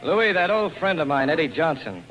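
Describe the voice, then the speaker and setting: An actor playing a tough, slangy saloon boss in 1940s radio style. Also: male voice, a little high, very animated, normal speed, fairly bright tone, muffled recording. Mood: tough, menacing. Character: tough, vengeful saloon boss with wary temper. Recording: noisy 1940s radio broadcast recording.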